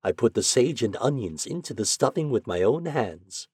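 Old country grandma, as a male reader does her: folksy, fond, encouraging, raised pitch, swooping intonation. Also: very animated, normal speed, a little mellow, husky, dry recording, studio quality. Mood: fond remembering. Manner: folksy, homely rural speech.

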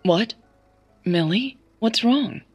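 A woman's voice: on the high side, lively intonation, takes it slowly, breathy edge, no echo, soft background music, voice pushed up, full character voice. A woman playing an awkward, earnest, chatty woman in an audio drama, hesitant in how she talks. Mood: confused.

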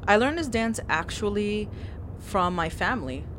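A woman's voice: animated, moderate pace, fairly bright tone. A young woman, a Brooklyn-born dancer whose first language is Algerian Arabic, talking candidly and laughing in a casual interview outdoors. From New York (Brooklyn). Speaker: guest, dancer and teaching artist. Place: outdoors inside a plastic dome near a park. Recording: noisy outdoor recording, traffic rumble.

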